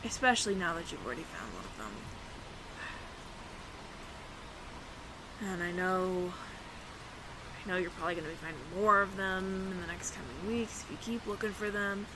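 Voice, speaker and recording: A woman's voice: on the low side, animated, brisk, background noise, lightly acted. A woman playing a nervous, evasive alien roommate in an audio roleplay, stammering and over-explaining to the listener. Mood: resigned, reluctant.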